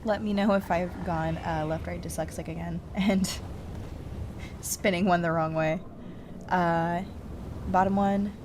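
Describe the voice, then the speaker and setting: A woman's voice: lowish voice, fairly even intonation, measured pace, long pauses, noisy background. A woman giving casual commentary as she plays a video game, thinking aloud to herself. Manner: thinking aloud, self-talking. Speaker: game streamer.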